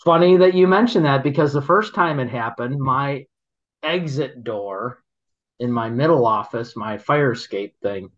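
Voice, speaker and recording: A man telling stories in casual chat, halting and rambling, laughing often as he goes. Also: mid-range voice, dull audio. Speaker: guest, local business owner.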